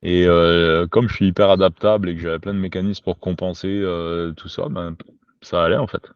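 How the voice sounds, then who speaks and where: A man's voice: low-pitched, level tone, fairly quick, no echo. A man from Quebec talking spontaneously and informally as a podcast guest, with slangy, sweary phrasing, on a lo-fi home recording. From Quebec, Canada. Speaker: podcast guest, survival instructor. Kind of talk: spontaneous casual conversation.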